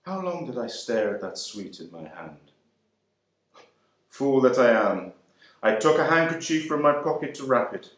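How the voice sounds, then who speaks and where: A man giving a first-person dramatic reading of a novel monologue, measured and expressive. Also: medium pitch. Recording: roomy echo.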